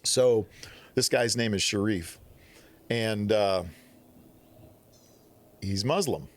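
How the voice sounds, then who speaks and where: A man from the Midwest, telling stories on a podcast in a casual, animated way, acting out quotes. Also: on the low side, slow pace. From Upper Midwest. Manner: animated storyteller, acts out quotes. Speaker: podcast guest. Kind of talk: casual storytelling.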